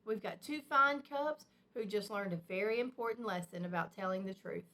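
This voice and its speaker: A woman voicing a patient, gently firm mother bear in a children's storybook, speaking earnestly. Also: medium pitch, moderate pace. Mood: reassuring.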